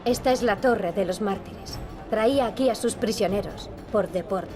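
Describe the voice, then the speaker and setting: An actress playing a solemn, sharp-tongued young heroine in a video game. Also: female voice, mid-range voice, moderate pace, lightly acted. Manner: solemn, dry. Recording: game music behind the voice. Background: game music.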